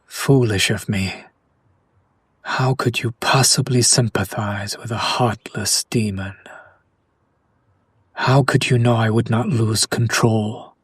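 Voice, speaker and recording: Man acting a slow, brooding, romantic vampire nobleman. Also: lowish voice, breathy edge, dry recording. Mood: tormented, pleading. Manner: formal, theatrical, slow and hushed, dramatic pauses. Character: tormented noble male vampire, centuries old, romantic and brooding. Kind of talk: intimate audio roleplay monologue addressed to the listener.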